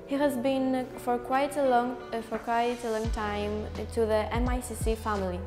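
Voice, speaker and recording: A young woman with a somewhat high voice introduces a studio guest, hesitating a little with "uh." A long reverb hangs in the room, and music plays underneath. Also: level tone, normal speed. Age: young adult. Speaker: show host interviewer.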